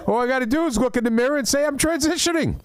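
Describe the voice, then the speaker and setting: A middle-aged man doing an open mic stand-up set, rambling through stories with stammered restarts and deadpan complaints. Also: very high-pitched, rapid-fire. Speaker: stand-up comedian. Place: comedy club open mic.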